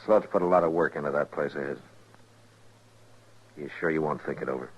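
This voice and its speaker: An American man playing a weary, fair-minded frontier marshal in a radio western, speaking in a measured, terse way. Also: a bass voice, slight background noise. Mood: challenging. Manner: measured, terse, quietly challenging. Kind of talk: old-time radio western drama acting. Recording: muffled old radio recording.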